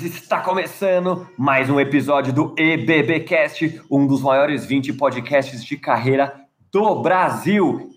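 A man hosting a podcast, chatty and enthusiastic, talking over a remote call. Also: medium pitch. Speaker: podcast host.

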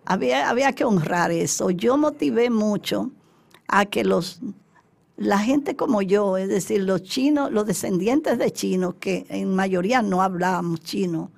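Creaky-voiced Dominican woman, emphatic storyteller. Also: medium pitch, unhurried, darkish tone. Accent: Dominican Spanish. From Dominican Republic. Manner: emphatic, acting out remembered exchanges in quoted speech. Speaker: interview guest, former representative of her country in China. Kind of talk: conversational interview storytelling.

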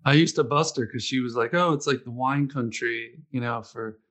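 Male host, casual talker, middle pitch, rambles with fillers. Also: normal speed, fairly bright tone. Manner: rambling, with many fillers and quoted asides. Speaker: podcast host, former runner. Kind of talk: casual interview chat.

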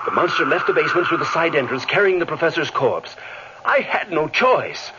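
A man's voice: on the high side, expressive, a little fast, lightly acted. A man playing a haunted, guilt-ridden scientist with anguished, melodramatic delivery in an old radio horror drama. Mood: confessing. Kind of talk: 1940s radio horror acting. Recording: hissy, muffled old radio recording.

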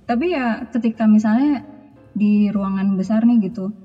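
A woman with a Jakarta Indonesian accent and a husky voice, chatting informally as a podcast guest and slipping in English phrases. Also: mid-range voice, moderate pace. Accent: Indonesian, Jakarta colloquial. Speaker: podcast guest, musician and vocal coach. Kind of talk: casual interview chat. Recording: dull, echoey hall sound, music behind.

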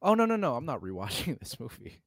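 A man's voice: medium pitch, wildly swooping pitch, fast talker, no room sound, studio quality. A man co-hosting a podcast, chatting casually about film in a blunt way, swearing freely with exasperated emphasis. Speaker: podcast co-host.

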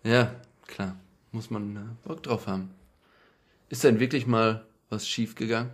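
A young man hosting a podcast, chatting in a relaxed way and telling anecdotes. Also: fairly low pitch, measured pace. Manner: relaxed, tells anecdotes with quoted voices. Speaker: podcast host. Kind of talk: casual podcast conversation.

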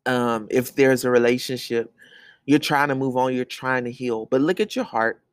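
A man's voice: medium pitch, moderate pace, clean tone, dry recording, clean studio sound. A man hosting a podcast, giving an earnest, sermon-like talk of encouragement from his bedroom, repeating phrases for emphasis. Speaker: podcast host.

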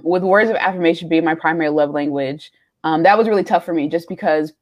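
A young woman in her twenties co-hosting a faith podcast, telling personal stories candidly with frequent pauses and ums. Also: fairly low pitch. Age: young adult. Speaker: podcast co-host. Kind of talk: casual chat.

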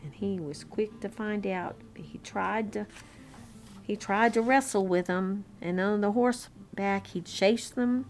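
A woman reading a children's story aloud in an expressive, unhurried, amused manner, with chatty asides. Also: mid-range voice. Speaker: children's story-time reader. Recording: classical violin music bed. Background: classical violin concerto background track.

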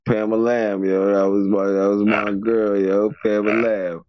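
A man cohosting a podcast, animated and slangy, repeating words as he tells a story. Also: fairly low pitch, normal speed, no room sound, muffled recording. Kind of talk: casual storytelling banter.

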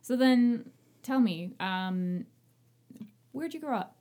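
A woman's voice: a little high, expressive, takes it slowly, dark tone. A woman from small-town Ontario with a Canadian accent, hosting a casual conversational interview in an effusive, chatty way. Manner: effusive, chatty, laughs often. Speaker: interviewer, host.

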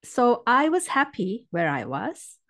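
A middle-aged woman from Turkey, speaking English with a Turkish accent, telling her story hesitantly with many pauses. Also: a little high, sing-song, a bit bright. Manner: hesitant, many fillers and restarts. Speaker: guest coach. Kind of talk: personal story in interview.

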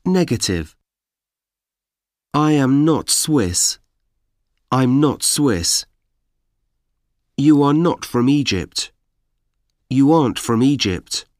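Slow, deliberate man reading drills. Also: medium pitch, a little mellow, dry recording, clean studio sound. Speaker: course audio speaker. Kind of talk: slow, clear example sentences for learners. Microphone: dry studio mic.

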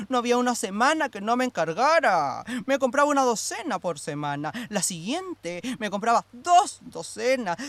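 An actress playing a gossipy, pushy neighbour who sells housewares door to door, chatty and wheedling, in a radio drama. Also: female voice, fairly bright tone, no echo, heavily acted. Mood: chatty, complaining. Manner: chatty, wheedling, sales-pitching. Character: gossipy, pushy neighbour woman selling plastic containers door to door. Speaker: actor in a radio play.

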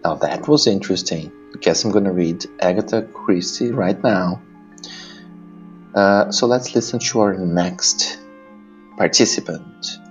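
A man with a fairly low voice hosts in a casual way, introducing contributions. He speaks very slowly, with music faintly behind him. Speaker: host introducing readers' contributions. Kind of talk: casual hosting between clips.

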